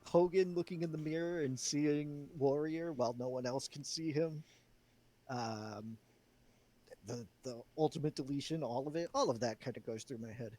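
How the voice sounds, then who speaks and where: A man's voice: on the high side, moderate pace, mellow. A man chatting casually and off the cuff as he runs a wrestling booking game on a live stream, thinking aloud as he goes. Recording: background music under the voice. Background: chillhop video game music.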